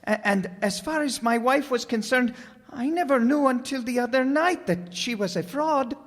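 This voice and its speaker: A man's voice: extremely high pitch, moderate pace, a bit bright, long reverb tail. A man acting a nervous, pleading, superstitious husband in an old-time radio detective drama, formal and stammering. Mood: defensive.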